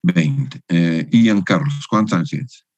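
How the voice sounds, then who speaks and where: A man teaching an engineering class over a video call, with a lowish voice. He lectures in a didactic way and keeps asking his students for agreement. The sound is dry and close, with no room around it. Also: lively intonation, slow pace, darkish tone, no room sound, muffled recording. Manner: didactic, keeps adding the tag question "no es cierto". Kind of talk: online university lecture.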